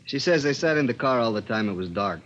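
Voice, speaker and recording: An actor playing a patient bilingual police officer who interprets in a matter-of-fact, measured manner in a 1950s radio drama. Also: male voice, medium pitch, lively intonation, fairly bright tone, close to an everyday voice. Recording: dull vintage 1950s radio recording.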